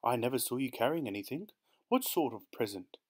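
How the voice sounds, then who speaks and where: A man's voice: medium pitch, expressive, brisk, husky. A man voicing an anxious, flustered wife who pleads politely and hurriedly, as a storybook character. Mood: fretful. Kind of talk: audiobook character voice.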